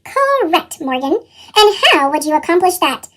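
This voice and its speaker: A woman voicing a strict male monster teacher in a storybook, formal and scolding, with a chipmunk pitch effect. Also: big pitch swings, moderate pace, noisy background. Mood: instructive. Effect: chipmunk pitch-shifted voice.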